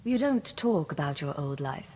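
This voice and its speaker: An actress playing a composed, businesslike imperial agent in command, direct and matter-of-fact, in video game dialogue. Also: female voice, deep voice, expressive, moderate pace, darkish tone, big-room sound, slight background noise. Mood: cool, curious.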